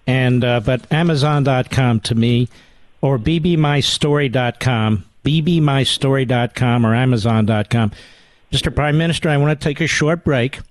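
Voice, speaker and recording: A man's voice: mid-range voice, normal speed, no echo. A middle-aged man hosting a talk radio show, speaking emphatically, running an interview and reading ads. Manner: emphatic, salesman-like. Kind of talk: interview and ad read.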